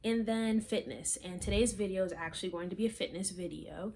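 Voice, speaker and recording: A young woman from South Florida chatting casually to camera as a fitness vlogger, rambling with frequent ums and soft trailing asides. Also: mid-range voice, brisk, a little hiss or hum. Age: young adult. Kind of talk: casual chat and workout instruction. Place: small room, plain wall, indoors.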